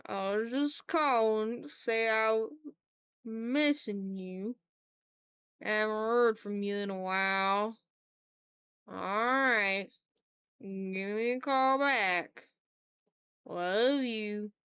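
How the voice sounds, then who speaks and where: Woman playing an old Southern grandmother, unhurried and loving, drawn-out phone-message delivery, close dry sound. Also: medium pitch, fairly bright tone, clear, resonant tone, no echo. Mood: sweet, unhurried. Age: older. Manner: slow, drawn-out, affectionate. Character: Southern grandmother leaving a sweet, unhurried voicemail.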